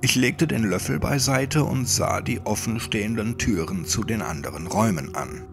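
Man, creepypasta audiobook narration, low creaky voice, measured and suspenseful, background music. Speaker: horror story narrator. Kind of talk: scripted first-person creepypasta narration, audiobook.

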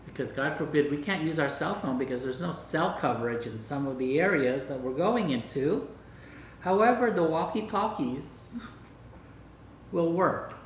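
A man's voice: fairly high pitch, animated, moderate pace. An older man chatting casually as he hosts a home vlog, testing out a gadget. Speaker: vlog host. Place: dining room at home. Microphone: camera microphone across the room. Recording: echoey room, distant mic, faint noise.

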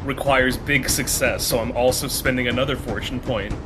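A man's voice: mid-range voice, steady tone, normal speed, music in the background. A man playing a tabletop role-playing game, chatting in an informal, slangy way. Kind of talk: casual game chat.

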